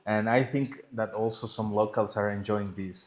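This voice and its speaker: Man, earnest and halting. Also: on the low side, steady tone. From Mexico. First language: Spanish. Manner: earnest, restarts phrases while searching for English words. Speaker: real estate agent, host. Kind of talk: casual conversation.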